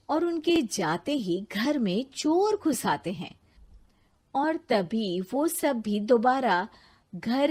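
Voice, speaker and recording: A woman narrates a scripted cartoon story. Her voice sits fairly high, and she tells it at an unhurried, slow pace. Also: breathy edge.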